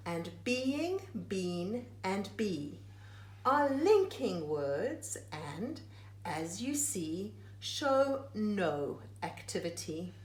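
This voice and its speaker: Slow, expressive woman reading aloud, echoey room. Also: mid-range voice. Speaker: teacher reading a picture book aloud. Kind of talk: read-aloud of a rhyming children's book.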